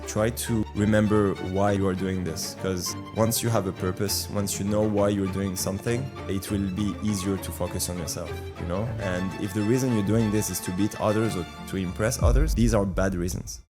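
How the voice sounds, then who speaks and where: A man's voice: on the low side, steady tone, brisk, few pauses, over music. A young man with a French accent, a guest on an interview show, talking earnestly with many fillers and repeated words. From France. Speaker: guest, athlete and coach. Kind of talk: casual interview talk.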